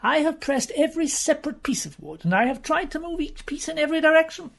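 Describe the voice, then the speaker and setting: Man putting on an excitable, high-pitched fussy gentleman. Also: quick pace, gravelly, exaggerated voice. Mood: insistent. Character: excitable, fanciful gentleman puzzling over a mystery. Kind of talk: audiobook character voice.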